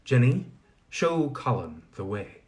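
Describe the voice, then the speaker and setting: A man voicing an old blind woman who spins wool, speaking in a brisk, instructing way. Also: mid-range voice, very animated, a little mellow. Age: older.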